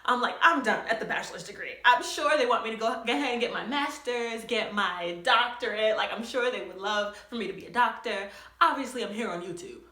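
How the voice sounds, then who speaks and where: A young woman telling a story on her vlog in an animated way, often laughing as she talks. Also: a little high, a little fast, hardly any pauses, reverberant. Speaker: vlogger.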